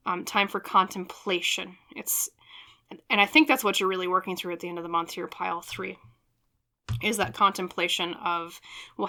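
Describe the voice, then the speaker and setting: A woman with a fairly low voice speaks as a tarot reader. Her delivery is casual and conversational, wandering through reflective thoughts as she addresses her viewers directly. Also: bright, forceful tone. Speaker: tarot reader and channel host.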